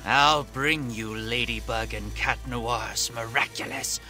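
An actor playing a bumbling, pigeon-obsessed villain in an animated game. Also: male voice, medium pitch, slow pace, soft background music. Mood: villainous.

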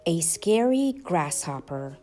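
Female storyteller, lowish voice, unhurried and lively in pitch, children's read-aloud over soft music. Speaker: storyteller and channel host.

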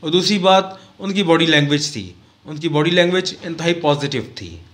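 Bright-voiced man giving news commentary. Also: a little high. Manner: measured, formal, with frequent "uh" pauses. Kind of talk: current-affairs commentary vlog. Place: small indoor studio set at a desk. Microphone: desk mic on a stand close to the mouth.

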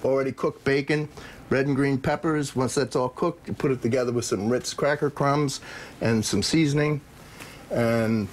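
A man's voice: medium pitch, fairly even intonation, normal speed, slight background noise. A middle-aged man, a guest chef, explaining each step in a plain, practical way during a cooking demonstration in a TV studio kitchen, on a lapel mic. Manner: practical, plain-spoken, explains steps as he cooks.

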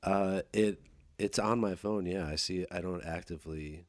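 A man hosting a podcast, chatting casually with relaxed, measured replies. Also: sits low, dry recording, clean studio sound. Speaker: podcast host, musician. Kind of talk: casual conversation.

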